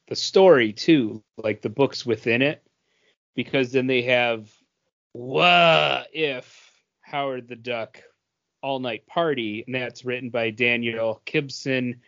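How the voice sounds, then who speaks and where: A man in his forties co-hosting a podcast, talking casually with plenty of um and uh fillers. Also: mid-range voice. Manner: unhurried, many um and uh fillers. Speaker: podcast co-host. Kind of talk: casual chat. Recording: slightly dull lo-fi audio.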